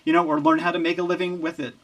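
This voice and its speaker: A man who works as a recording engineer, chatting as an interview guest in an easygoing, halting way. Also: sits high, sing-song, vocal fry, a bit bright. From Lehigh Valley, Pennsylvania. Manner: easygoing, halting, with many fillers and restarts. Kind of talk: casual interview chat.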